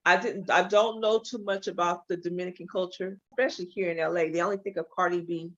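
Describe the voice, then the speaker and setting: A woman's voice: on the low side, fast, very noisy. A woman in her forties from Los Angeles, a hair and makeup artist guest telling her story openly in a casual interview. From Los Angeles, California. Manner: open, storytelling, animated at times. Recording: dull lo-fi audio.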